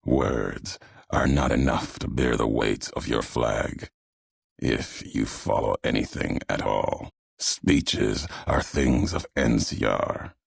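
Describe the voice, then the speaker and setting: An actor playing a brooding, vengeful wasteland courier in a video game, grave and world-weary, speaking solemnly and deliberately in clipped, cryptic phrases with a gravelly voice. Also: male voice, very deep voice, heavy reverb, exaggerated voice. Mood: grave challenge.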